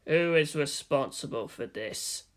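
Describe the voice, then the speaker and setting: Woman plays menacing male commander: low, hushed, slow, terse, icy. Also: expressive. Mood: cold anger. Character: cold, menacing middle-aged male commander.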